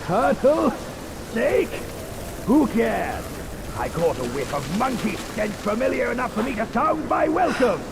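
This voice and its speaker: A man voicing a brash, beastly demon general in a video game, loud, dismissive and swaggering. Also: extremely high pitch, big pitch swings, unhurried, background noise, heavily acted. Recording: game cutscene with rain and loud ambience.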